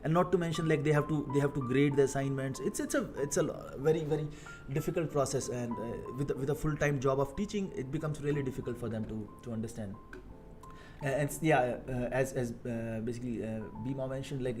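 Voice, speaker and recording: A man's voice: mid-range voice, over music. Indian man rambling on a live stream. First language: Hindi. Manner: halting, many fillers and repeated words. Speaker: live-streaming software engineer. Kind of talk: casual live-stream talk.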